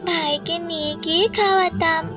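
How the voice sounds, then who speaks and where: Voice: extremely high pitch, fairly even intonation, moderate pace, music in the background. A performer voicing a poor, meek little village girl in a cartoon, with a plaintive, pleading, childlike way of talking. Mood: timid, begging. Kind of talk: Islamic cartoon dialogue.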